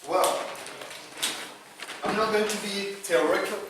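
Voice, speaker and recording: Echoey, distant Belgian man telling stories on stage. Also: high voice. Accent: Belgian French. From Brussels, Belgium. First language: French. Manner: animated retelling with acted-out dialogue, halting non-native English. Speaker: conference speaker. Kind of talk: informal storytelling conference talk. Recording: echoey, noisy room, distant microphone.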